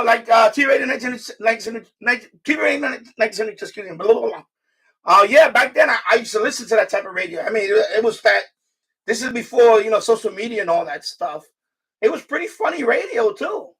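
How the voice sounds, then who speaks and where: A middle-aged man chatting casually on a live stream, halting and rambling as he corrects himself. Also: sits high, a bit bright, husky, distant mic. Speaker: live streamer, radio hobbyist.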